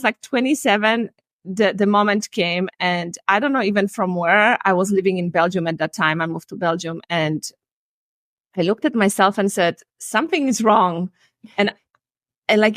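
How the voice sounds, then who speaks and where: A woman's voice: mid-range voice, no echo, studio quality. A woman in her forties from Poland with a Polish accent, earnestly telling her own story as a life and relationship coach on a video call. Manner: earnest, reflective, slightly non-native phrasing. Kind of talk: candid personal storytelling. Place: home room, indoors.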